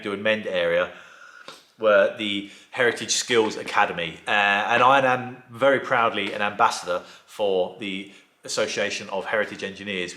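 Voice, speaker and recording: Man, croaky throat, rambling and enthusiastic, casual vlog chat, roomy echo. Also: fairly low pitch, pushed and bright. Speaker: vlogger and car restorer.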